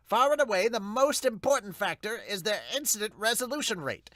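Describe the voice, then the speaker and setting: A man's voice: high voice, expressive, a little fast, fairly bright tone, no room sound, pitched above the performer's own voice. A man voicing a grand, emphatic announcer of hero rankings in an amateur manga dub.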